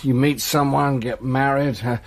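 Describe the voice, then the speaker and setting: A man's voice: mid-range voice, steady tone, measured pace, close to an everyday voice. An actor playing a boozy, bitter middle-aged man in a radio drama, rambling and stammering. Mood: bitter self-pity. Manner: rambling, self-pitying, stammering.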